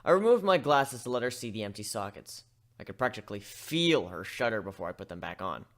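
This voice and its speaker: Man reading a story with very animated delivery. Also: fairly low pitch, fairly quick. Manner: expressive, swinging between narration and character voices. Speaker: amateur story reader. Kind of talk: dramatic first-person story narration.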